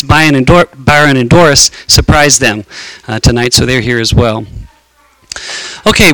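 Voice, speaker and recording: Guy leading a program, talking to the audience, bright clear voice, kinda high. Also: no room sound, low-quality recording. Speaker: choir director introducing a program. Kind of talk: informal spoken announcement to an audience.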